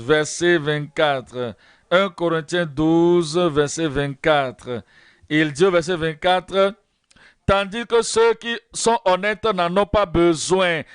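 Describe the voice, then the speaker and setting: A man preaching at a Bible study, repeating verse references and key phrases in a slow, measured teaching manner. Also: high-pitched, clear, resonant tone, dry recording, clean studio sound. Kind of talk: sermon.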